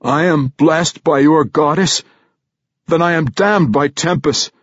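A man voicing a huge young barbarian man, proud and tormented, declaiming loudly. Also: fairly high pitch, slow pace, no echo, lo-fi sound, voice pushed up. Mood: ranting. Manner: loud, declaiming, anguished.

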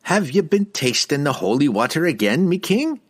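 A man's voice: fairly high pitch, huge pitch swings, a little slow, husky, voice pushed up, full character voice. A man voicing a respectful but firm dwarf cleric in an audiobook. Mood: respectful, doubting.

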